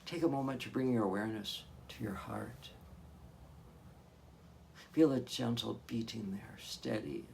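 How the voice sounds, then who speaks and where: Guy doing guided meditation, really slow and gentle, gravelly low voice, lots of pauses. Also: a little hiss or hum. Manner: gentle, soothing instruction with long pauses. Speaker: hypnotherapist leading guided meditation.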